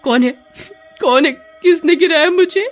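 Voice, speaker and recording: High, melodramatic young mother played by a woman. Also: unhurried, soft background music. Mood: wailing in pain. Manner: wailing, stammering, exaggerated. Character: harsh, guilty, melodramatic young mother and sister-in-law. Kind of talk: animated horror story acting.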